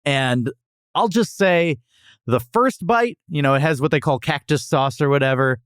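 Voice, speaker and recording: A man's voice: fairly high pitch, normal speed, clean tone, no room sound, studio quality. A man hosting a podcast, chatting casually about food in an opinionated, jokey way. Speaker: podcast host. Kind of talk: casual food review chat.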